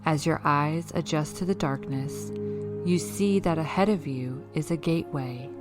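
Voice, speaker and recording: Slow, low-voiced woman guiding a meditation. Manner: calm, measured, instructional. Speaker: guided meditation leader. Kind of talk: scripted guided meditation narration. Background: background music.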